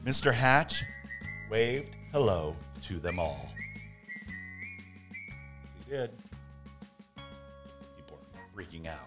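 A man reading a bedtime story aloud as a podcast host, with playful dramatic pauses and casual asides. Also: medium pitch, a bit bright.

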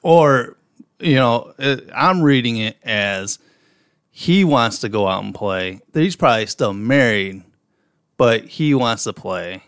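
A man with an Upper Midwest American accent chats as a podcast co-host, telling stories and reading out listener messages. His voice sits at a middle pitch. The sound is dry and close. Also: animated, slow, fairly bright tone, no room sound. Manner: storytelling, reads out messages, profane. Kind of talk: casual podcast chat.

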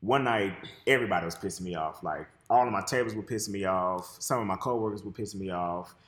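A man's voice: low voice, fast, fairly bright tone, roomy sound. A man co-hosting a casual chat, telling rambling stories full of swearing. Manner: profane, rambling storytelling.

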